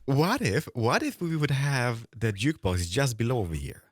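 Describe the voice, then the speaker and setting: A man chatting casually while gaming with a friend, excitable and prone to exclaiming and repeating words. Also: mid-range voice, moderate pace, no echo, clean studio sound. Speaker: gaming collaborator. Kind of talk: casual chat while playing a game.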